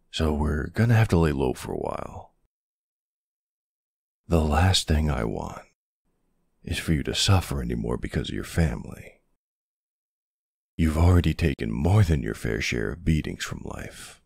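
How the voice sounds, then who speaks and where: A man playing a tender, protective older ex-soldier boyfriend with a husky, gravelly voice in an intimate ASMR roleplay. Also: extremely low pitch, no room sound, clean studio sound, full character voice. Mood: concerned, tender. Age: middle-aged or older character. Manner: affectionate teasing, hesitant pauses, soft reassurance.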